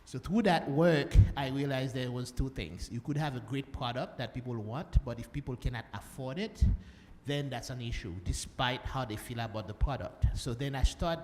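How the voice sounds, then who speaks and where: A man's voice: medium pitch, moderate pace. Man from Haiti in a reverberant hall. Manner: hesitant, many fillers and restarts. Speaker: panelist, social entrepreneur. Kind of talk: conference panel talk. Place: conference hall. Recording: long hall reverb, faint room noise.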